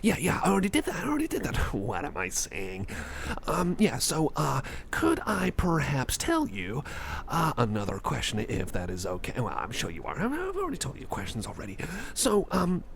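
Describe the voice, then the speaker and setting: A man's voice: on the high side, wildly swooping pitch, normal speed, gravelly, heavily acted. A man playing a jittery, socially anxious, over-caffeinated guy in an ASMR roleplay, rambling and stammering as he repeats himself. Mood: giddy, nervous. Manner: rambling, stammering, repeats phrases, self-doubting. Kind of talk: ASMR roleplay monologue addressed to the listener.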